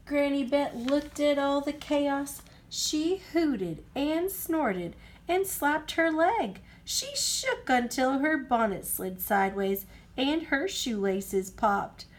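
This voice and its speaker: A woman reading a picture book aloud to children as an expressive storyteller with sing-song phrasing. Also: high voice, slow pace.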